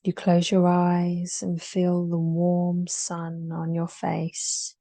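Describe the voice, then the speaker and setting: Very slow, mellow woman guiding meditation. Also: fairly low pitch, monotone, dry recording. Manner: soothing, unhurried, with long pauses between short phrases. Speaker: guided meditation leader.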